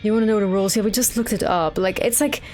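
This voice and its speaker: A woman's voice: mid-range voice, fast talker, a little mellow, faint music behind. Swearing woman streamer. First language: Dutch. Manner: rambling, swearing, exasperated. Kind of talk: casual gameplay chat.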